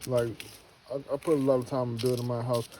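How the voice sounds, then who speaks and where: Man in his thirties, casual vlogger, warm low-key tone, loose rambling delivery, faint noise behind. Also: little pitch movement, brisk, rough recording. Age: 30s. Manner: rambling, repeats himself, slangy; earnest motivational pep talk. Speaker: homestead vlogger. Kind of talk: casual to-camera vlog.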